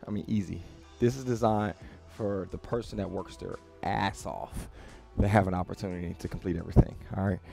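A man's voice: low voice, steady tone, brisk, creaky, a little mellow, faint music behind. A man in his thirties coaching a workout to camera in a casual, direct, motivational way, recorded in a small gym. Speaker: fitness coach. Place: small home-style gym with rubber floor, indoors.

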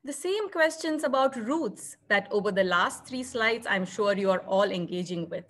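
A woman's voice: mid-range voice, lively intonation, normal speed, a bit bright. A woman presenting scripted narration on a video call, with reflective, poetic phrasing. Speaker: theatre artist presenting at a conference.

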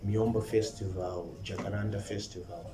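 A young man with a Zimbabwean accent, a musician guest giving calm, short answers in a studio interview. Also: fairly low pitch, normal speed. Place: indoor TV studio. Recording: roomy studio with faint noise.

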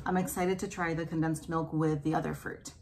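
A woman in her thirties with a fairly low voice, talking gently and casually as she tastes food at her kitchen table. The camera sits in front of her, and a faint hiss of room noise stays under her voice. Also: fairly quick. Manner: soft, relaxed, savoring food between remarks. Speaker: food vlogger. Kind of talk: casual mukbang chat. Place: small indoor room at a table.

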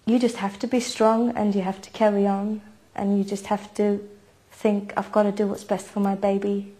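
A woman in her thirties from Iceland, with Icelandic as her first language, tells her story in an interview. Her voice is soft and mellow, and she keeps to a narrow, even range of pitch. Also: normal speed. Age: 30s. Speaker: mother telling her family's story. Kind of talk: personal interview account. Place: home kitchen, indoors.

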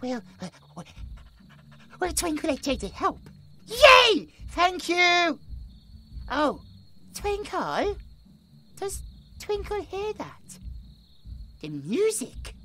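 A British man voicing a gentle, childlike possessed male creature who talks about himself in the third person with broken grammar. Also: very high voice, wildly swooping pitch, very slow, long pauses, slight background noise, exaggerated voice. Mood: cheerful, curious. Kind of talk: horror audio roleplay.

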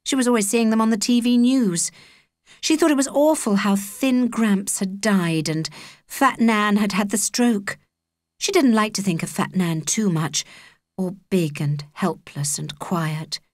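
A woman's voice: medium pitch, a little slow, dry recording, studio quality. A woman with a British accent reading a children's story as an audiobook narrator.

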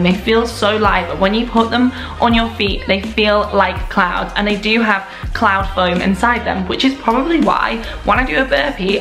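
A woman's voice: mid-range voice, a bit bright. A young woman talks straight to the camera in a casual product review, bubbly and gushing, full of "like" and "so". Her voice sits in a medium register. The room is echoey, with faint music underneath. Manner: enthusiastic, gushing, lots of "like" and "so". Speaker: lifestyle vlogger. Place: indoor room at home. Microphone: camera at desk distance.

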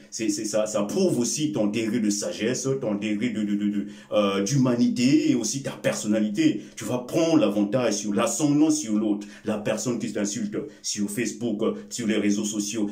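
A man in his forties whose first language is Bembe, speaking slowly and emphatically to the camera with many filler sounds and repeated words as he gives a life lesson. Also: on the low side, mellow. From Congo. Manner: gestures with both hands while speaking. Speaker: life-lesson video host. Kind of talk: motivational talk to camera. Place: small indoor room before a curtain. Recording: echoey room.

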